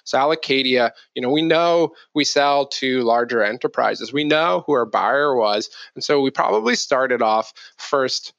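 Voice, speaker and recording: A man's voice: mid-range voice, unhurried, creaky, hardly any pauses, fairly bright tone, no room sound. A man speaking as a guest in a casual interview, conversational and given to joking asides. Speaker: podcast guest, marketing executive. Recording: dull, muffled audio.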